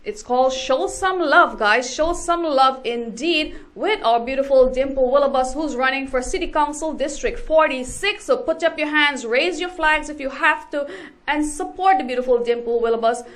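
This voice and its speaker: A woman's voice: sits high, moderate pace, a bit bright. A woman hosting a casual live-stream talk show, upbeat and stumbling over titles as she introduces her guest. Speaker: host.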